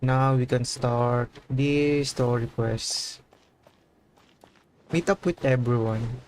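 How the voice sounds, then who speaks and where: A man chatting casually on a game livestream in a halting way, often repeating himself. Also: mid-range voice, fairly even intonation, darkish tone, a little hiss or hum. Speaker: livestreamer playing a video game.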